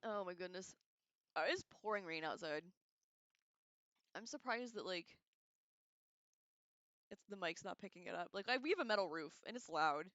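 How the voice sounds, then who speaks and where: A woman's voice: fairly low pitch, lively intonation, moderate pace, long pauses, fairly bright tone, no room sound. A woman hosting a casual, rambling livestream discussion with an irreverent, slangy manner, on a slightly dull microphone. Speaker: livestream host.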